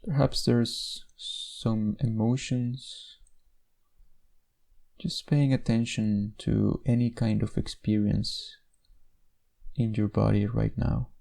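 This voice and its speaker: A man guides a meditation in a lowish, relaxed voice. There is a noticeable creak in his tone. He gives each instruction in a measured way, leaving gentle pauses between phrases.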